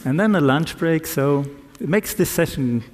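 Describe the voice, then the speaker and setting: A man who is not a native English speaker, giving a conference talk in a slow, deliberate way with self-deprecating humor. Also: a little high, animated. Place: conference hall. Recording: reverberant conference hall.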